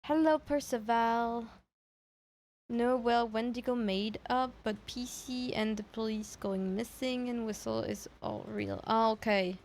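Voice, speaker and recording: Slow, high-voiced woman streamer. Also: fairly even intonation, a little mellow. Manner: hesitant, thinking aloud, repeating herself. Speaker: streamer reacting to horror videos. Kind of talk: casual livestream chat.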